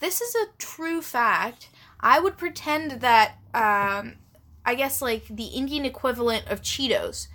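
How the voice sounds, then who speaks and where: Bright-voiced wry woman podcaster. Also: fairly high pitch, expressive, measured pace. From grew up in Dubai, UAE. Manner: wry, self-deprecating, swears casually. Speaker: podcast co-host. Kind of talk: casual podcast chat.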